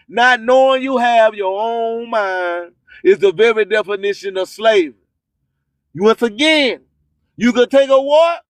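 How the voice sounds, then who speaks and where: A man's voice: very high-pitched, dry recording. An American man hosting a radio show, preaching spiritual motivation in a declamatory, repetitive, rhyming delivery. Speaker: radio host.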